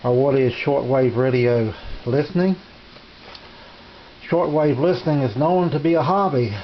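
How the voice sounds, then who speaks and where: A middle-aged man in his home radio shack, showing his shortwave receivers in a halting way with many fillers. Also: medium pitch. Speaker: ham radio hobbyist demonstrating receivers. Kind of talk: casual home video demonstration. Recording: dull lo-fi camera audio with hiss.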